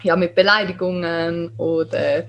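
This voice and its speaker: A woman's voice: fairly low pitch, steady tone, measured pace, vocal fry, noisy background. Woman with regional German accent, candid, emphatic, motivating podcast guest. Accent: regional German dialect. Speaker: guest, Instagram creator. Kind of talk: casual podcast conversation.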